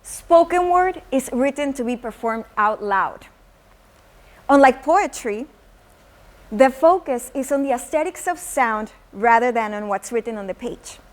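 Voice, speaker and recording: A woman's voice: on the high side, animated. A woman from Mexico with a Mexican accent, performing spoken word poetry and teaching how it is made. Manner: slow, measured poetic delivery with Spanish words. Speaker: teaching artist.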